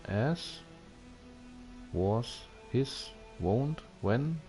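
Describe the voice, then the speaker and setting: A man doing casual gameplay commentary, halting as he thinks aloud and reads on-screen text. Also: mid-range voice, animated, mellow. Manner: halting, musing, puzzled asides. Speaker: gaming video host. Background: game music.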